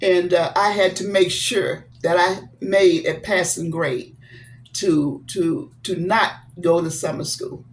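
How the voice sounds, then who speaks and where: Seventy-year-old woman, unhurried and sincere, devout and encouraging, faint hiss behind. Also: fairly low pitch, steady tone. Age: 70s. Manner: slow, earnest, encouraging; devout, blessing viewers. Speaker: vlogger. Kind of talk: personal storytelling to camera.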